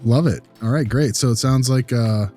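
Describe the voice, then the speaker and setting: A man's voice: medium pitch, moderate pace, mellow, soft background music. A man in a conversational case review, speaking as a consultant who asks probing, clarifying questions.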